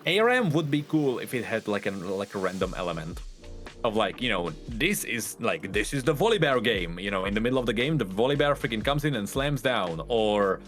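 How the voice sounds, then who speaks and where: A man's voice: on the low side, big pitch swings, fairly quick, a bit bright, faint music behind. A man chatting casually and emphatically on a gaming podcast, repeating phrases to make his point. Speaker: podcast panelist.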